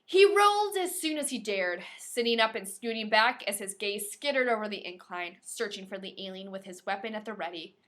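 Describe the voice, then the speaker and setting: A woman's voice: medium pitch, animated, a little fast, hardly any pauses, fairly bright tone. A woman podcast host reading a novel aloud expressively, breaking into playful, casual asides.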